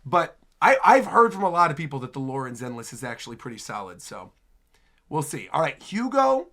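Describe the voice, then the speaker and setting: A man hosting a reaction video, giving chatty, excitable casual commentary on game trailers. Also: on the high side, brisk. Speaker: host, reaction video creator.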